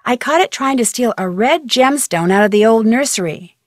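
Woman acting as young female sleuth, American accent, higher-pitched, dry studio sound, conversational, curious, level-headed, video game dialogue. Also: expressive, moderate pace, no echo, close to an everyday voice. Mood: matter-of-fact, curious.

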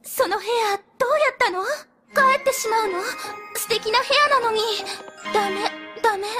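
A woman's voice: extremely high pitch, normal speed, over loud music. A woman voicing a refined, theatrical, fashion-loving female unicorn pony in a cartoon dub, with gushing ladylike speech.

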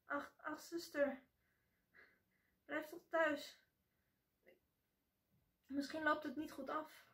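A woman doing a soft timid young girl. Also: sits high, little pitch movement, extremely slow, pitched above the performer's own voice, exaggerated voice. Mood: worried. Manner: soft, hesitant and pleading. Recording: echoey room, voice a bit distant.

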